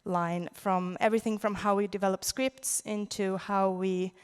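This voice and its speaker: A woman with a Swedish accent presenting as a conference speaker, in a halting way, searching for words. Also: medium pitch, steady tone, clear, resonant tone. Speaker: conference speaker, audio drama producer. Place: large indoor conference venue. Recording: reverberant conference hall.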